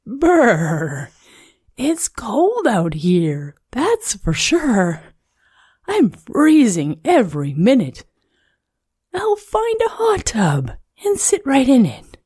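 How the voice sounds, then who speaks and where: A woman's voice: on the high side, wildly swooping pitch, extremely slow, darkish tone, breathy edge, no room sound, clean studio sound, pitched above the performer's own voice, full character voice. A woman voicing a lovable, cheerful, dramatic snowman who is forever cold, in a children's storybook read-aloud. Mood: shivering, complaining.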